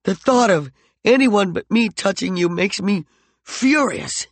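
A man's voice: sits high, unhurried, no echo, pitched above the performer's own voice. A male narrator voicing a jealous, volatile man who swings from mockery to heated pleading. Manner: heated, mocking and intense.

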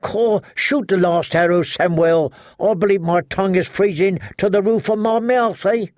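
A man voicing a gloomy, mournful soldier with a dry, drawn-out way of talking. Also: sits high, darkish tone, no room sound, voice pushed up, lightly acted. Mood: glum. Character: gloomy, mournful man of the watch, middle-aged.

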